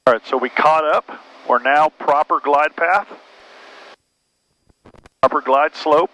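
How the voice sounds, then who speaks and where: A middle-aged American man, a pilot, calmly explaining things in casual cockpit talk and radio calls. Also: fairly high pitch, slow pace. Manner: calm, explanatory. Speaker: pilot and flight mentor. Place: small airplane cockpit in flight. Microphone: aviation headset. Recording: muffled aviation headset, cockpit noise.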